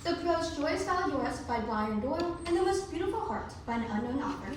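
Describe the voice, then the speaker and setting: A woman's voice: high-pitched, animated, fairly quick. A woman performing theatrical storytelling narration for readers theatre. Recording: echoey room recorded from far off, faint noise.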